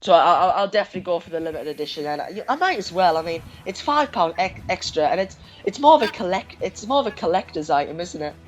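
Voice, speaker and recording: A man's voice: high voice, animated, fast talker, few pauses, low-quality recording. A young man giving his opinions in a casual fan discussion. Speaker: podcast co-host or guest.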